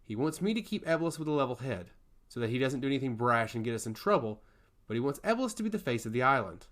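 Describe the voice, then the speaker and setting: A man's voice: medium pitch, very animated, fast, a bit bright. A man playing a composed young princess in a fantasy audiobook, earnest and reflective. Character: thoughtful, composed young princess, calculating and sincere.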